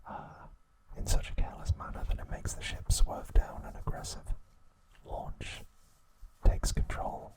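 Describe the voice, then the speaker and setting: A man narrating in a breathy, unvoiced ASMR whisper, hushed and measured, in short phrases. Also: poor audio. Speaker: host of an ASMR trivia channel.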